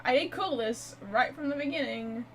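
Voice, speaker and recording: A woman's voice: high-pitched, normal speed. A woman giving casual game playthrough commentary, chatty and reactive, thinking aloud. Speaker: gamer, streamer. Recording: faint background noise.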